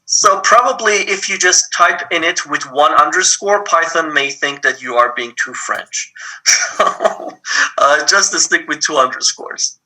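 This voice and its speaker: A man from Iran with a Persian accent, teaching an online programming lab and explaining things patiently with frequent pauses. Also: fairly high pitch, pushed and bright, low-quality recording. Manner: patient, explains step by step with many ums. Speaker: lab instructor. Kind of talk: live online coding lab.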